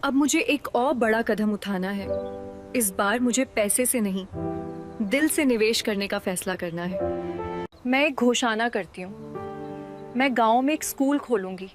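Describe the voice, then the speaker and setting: A woman's voice: a little high, a little slow, pauses often, natural voice. A woman playing a poor, kind-hearted village woman who narrates her moral story in an earnest, reflective way. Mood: resolute. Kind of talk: scripted first-person moral story narration. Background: background music.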